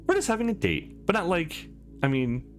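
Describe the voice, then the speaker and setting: A man's voice: a little high, big pitch swings, normal speed, pitched above the performer's own voice. A man voicing a shy, sweet young woman in a game, bashful and hesitant, with a lilting delivery. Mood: flustered. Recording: music behind the voice.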